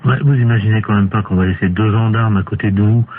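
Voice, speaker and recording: A man playing a hesitant, deadpan male court official in an improvised telephone prank. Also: fairly low pitch, quick pace, close to an everyday voice. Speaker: prank caller. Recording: tinny phone line with clear hiss.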